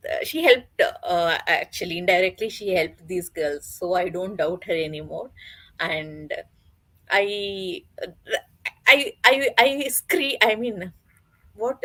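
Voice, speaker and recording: A woman co-hosting a casual book discussion, speaking excitably and haltingly with frequent self-corrections. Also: mid-range voice. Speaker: co-host of a book readalong discussion.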